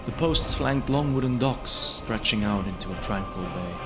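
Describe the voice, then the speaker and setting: A man reading scripted first-person fantasy narration in a measured, solemn storytelling manner. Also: on the low side, expressive. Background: music.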